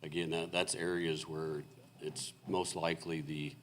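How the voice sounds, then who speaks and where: A man presenting as a consulting engineer at a city board meeting, explaining in a halting way with many fillers while he is losing his voice. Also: rumbling low, level tone, a little hiss or hum. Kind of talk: technical presentation at a public meeting. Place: city council meeting room.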